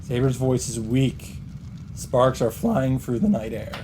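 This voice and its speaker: A man with a mid-pitched voice reads story narration aloud for a game playthrough. He is deliberate and dramatic with his pauses, and clear background noise from game ambience sits behind him. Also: lively intonation, warm tone, poor audio. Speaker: let's player narrator. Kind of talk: dramatic read-aloud of visual novel narration.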